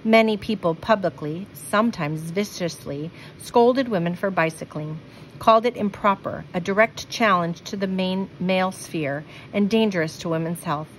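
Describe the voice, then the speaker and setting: A woman's voice: lowish voice, normal speed, low-quality recording. Woman reading a picture book, faint background noise. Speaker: storybook reader. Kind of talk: children's picture book read-aloud with asides.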